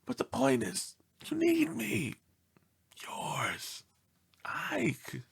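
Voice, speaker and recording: A man's voice: high-pitched, huge pitch swings, extremely slow, creaky, husky, voice pushed up, exaggerated voice. A man voicing an indignant, self-pitying pet dog in a theatrical storybook read-aloud. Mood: put-upon, dramatic. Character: aggrieved melodramatic pet dog writing pleading letters home.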